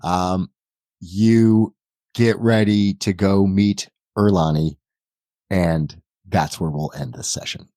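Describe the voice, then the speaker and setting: A man's voice: sits low, fairly even intonation, takes it slowly, dark tone, no room sound, clean studio sound. A man acting as game master, giving rulings and improvised narration in a casual conversational way.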